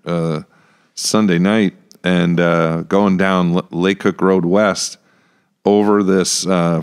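A man with a low American voice chats as a podcast host. He thinks out loud, wandering through his points in a casual discussion, close to a studio microphone. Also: clean tone. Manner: rambling, thinking aloud. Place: small podcast room with acoustic panels. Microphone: close studio mic on stand.

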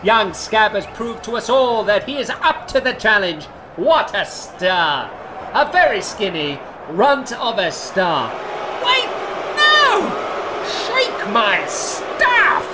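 Comic audio drama: grandiose old-world sports announcer, very animated, with clear noise of a match behind. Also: male voice, sits high, takes it slowly, exaggerated voice. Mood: excited. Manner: theatrical, grandiose. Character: excitable old-fashioned male fantasy match announcer.

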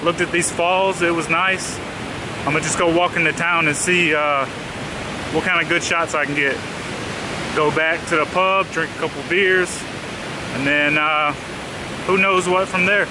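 A man in his thirties filming a casual travel vlog with the camera held at arm's length, chatting in a relaxed way and easily impressed by what he sees. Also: on the high side, measured pace, pauses often, noisy background. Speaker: travel vlogger. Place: outdoors on a country road, hotel and town. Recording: background music over the voice. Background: loud music.

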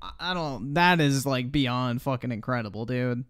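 A man's voice: medium pitch, normal speed, a bit bright, clean tone, no room sound, clean studio sound. A man livestreamer giving casual reaction commentary in a blunt, profane, slangy way.

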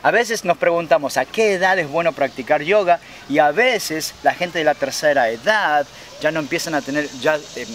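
Man, 40s, Rioplatense Spanish accent, fitness host, higher voice, interviewing outdoors, faint background noise. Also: expressive, moderate pace, a bit bright. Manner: friendly, leading questions, voseo. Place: outdoors by a park lake.

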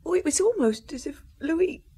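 An actress playing a grieving, bewildered young French wife in a radio drama, halting, breathy and tearful. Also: female voice, high voice, expressive, dark tone, a little hiss or hum. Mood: sad, halting.

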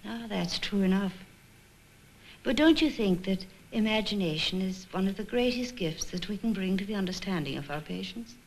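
Casting: patient senior nurse, middle-aged, lilting range, measured teaching manner, 1950s film soundtrack. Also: female voice, mid-range voice, animated, poor audio. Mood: patient, reassuring. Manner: measured, gently questioning, instructive. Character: patient, kindly, wise middle-aged ward sister. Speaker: senior nurse leading a staff discussion. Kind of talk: 1950s instructional film acting.

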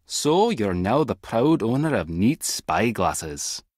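Husky, wry Scottish spy. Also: male voice, lowish voice, big pitch swings, slow, no room sound, clean studio sound, heavily acted. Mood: annoyed, dry. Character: wry, clever male tech-savvy spy agent. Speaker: video game voice actor. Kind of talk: scripted adventure game dialogue.

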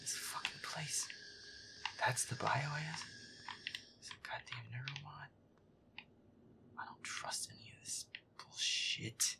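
A man playing a feisty werewolf boyfriend who grumbles and swears sarcastically through a scary video game in a breathy, whispery voice. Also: mid-range voice, slow pace, pauses often. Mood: annoyed muttering. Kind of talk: gameplay roleplay, muttered reactions.

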